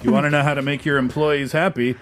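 A man's voice: fairly high pitch, flat delivery, fast talker, music in the background. A man hosting a radio show, joking around and reading out listener messages in casual studio chat. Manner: jokey, reads listener messages.

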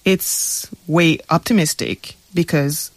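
A woman's voice: sits low, slow, no room sound. A young woman from Quebec, a graduate student guest, answering earnestly on a radio talk show while searching for words. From Quebec, Canada.

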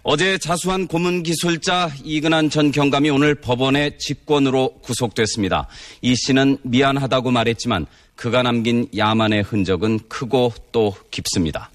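Grave male news anchor, reverberant archive. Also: medium pitch. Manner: grave, measured delivery. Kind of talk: broadcast news reading.

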